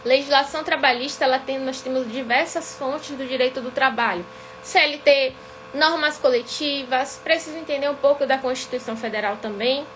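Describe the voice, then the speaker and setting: A woman's voice: sits high, moderate pace, a bit bright, slight background noise, poor audio. A woman from Salvador with a Bahian accent, an accountant teaching a weekly live class in a didactic way, often checking in with her viewers. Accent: Bahian Brazilian Portuguese. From Salvador, Bahia, Brazil. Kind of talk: live-streamed lesson.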